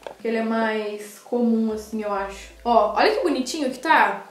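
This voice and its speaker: A woman's voice: a little high, moderate pace, reverberant. A young woman from Brazil tasting food on camera in a casual vlog, gushing and talking straight to her viewers. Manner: gushing, animated, addresses viewers directly. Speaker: food vlogger. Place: small indoor room by a sofa.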